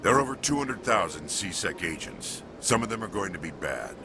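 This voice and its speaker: An actor playing a stern, distrustful veteran alien police chief in a video game, blunt and disapproving. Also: male voice, sing-song, brisk, background noise. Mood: indignant. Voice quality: very deep, gravelly. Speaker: head of citadel security. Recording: music behind the voice.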